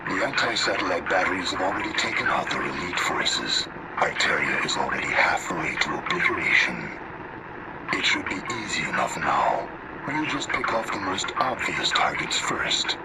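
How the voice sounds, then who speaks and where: Game briefing role: cool, disdainful rebel strategist, very low lively delivery, noisy distant radio. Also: male voice, normal speed. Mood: cool confidence. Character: shrewd, coolly confident male rebel strategist. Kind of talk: scripted military mission briefing.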